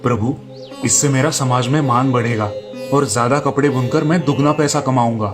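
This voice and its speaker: A man voicing a humble, earnest, deferential village weaver in an animated storybook tale. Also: medium pitch, moderate pace, over music, natural voice. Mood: respectful.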